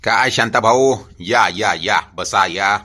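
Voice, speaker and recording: A man's voice: on the low side, slow pace, heavily acted. A man voicing a pompous, easily irritated village headman in a comic cartoon, with a blustering, condescending way of talking. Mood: welcoming. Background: loud background music.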